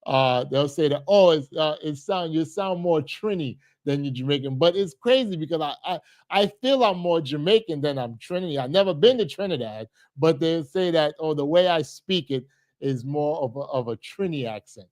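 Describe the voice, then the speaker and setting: A man's voice: fairly high pitch, expressive, normal speed, a little mellow, no room sound. Earnest man chatting on a livestream. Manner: repetitive, earnest, many restarts and thank-yous. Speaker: livestream host. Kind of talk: casual livestream chat with viewers.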